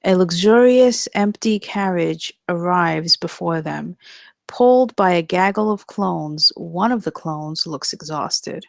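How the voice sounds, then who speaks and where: Female narrator, lowish creaky voice, scripted stage directions, dry close sound. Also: slow, no echo. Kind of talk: scripted narration, live table read.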